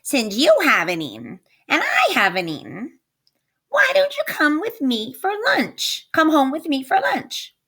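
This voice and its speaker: A woman's voice: high-pitched, wildly swooping pitch, measured pace, sharp tone, pitched above the performer's own voice, exaggerated voice. A woman voicing an irascible, scheming storybook witch, bossy and insulting, with a screechy voice. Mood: sly, coaxing. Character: irascible, greedy, scheming witch, shrieking when thwarted. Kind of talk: animated storybook character voice.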